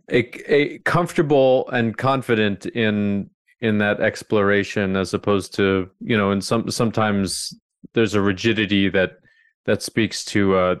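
A man hosting an interview, asking calm, reflective questions and murmuring agreement. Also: on the low side, clear, resonant tone, dry recording, clean studio sound. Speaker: interviewer host.

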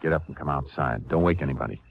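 An actor playing a tough, calm Texas Ranger with a terse, authoritative manner, in 1950s radio drama style. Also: male voice, extremely low pitch, fast, creaky, exaggerated voice. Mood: calm, businesslike. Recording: muffled, noisy old radio recording.

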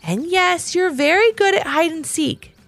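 A woman voicing a curious, excitable and slightly skeptical young girl in a children's story, often questioning and exclaiming. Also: extremely high pitch, a little slow, faint music behind, voice pushed up, full character voice. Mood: unimpressed.

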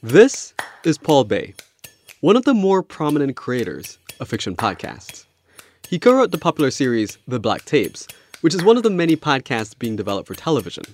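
A man's voice: medium pitch, huge pitch swings, moderate pace, a little mellow, a little hiss or hum. A man hosting a podcast, reading scripted narration. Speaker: podcast host and interviewer. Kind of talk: scripted narration with interview chat.

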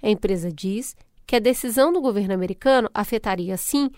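A woman's voice: medium pitch, brisk, dry recording, clean studio sound. A Brazilian woman co-hosting a podcast, reading scripted news segments and chatting playfully with guests. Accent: Brazilian Portuguese. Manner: lively, playful slang. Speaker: podcast co-host. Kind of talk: scripted news narration and casual chat.

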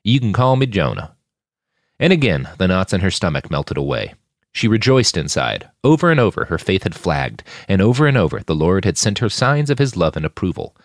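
A man narrates a fiction podcast in a deep voice. His scripted delivery swings widely in pitch for drama. The recording is dry and close. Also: very animated, a little fast, dry recording.

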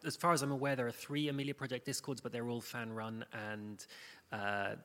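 A man's voice: mid-range voice, level tone, fairly quick, sharp tone. A man speaking casually as a guest on a live panel. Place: large indoor hall at a live panel event. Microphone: event microphone. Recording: echoey hall, live event.

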